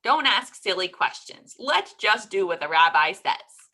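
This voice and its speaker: A woman's voice: medium pitch, very animated, pushed and bright, exaggerated voice. A woman storyteller voicing a frantic, easily exasperated housewife who exclaims and moans in a folk tale. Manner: exclaiming, moaning with a drawn-out "Oi".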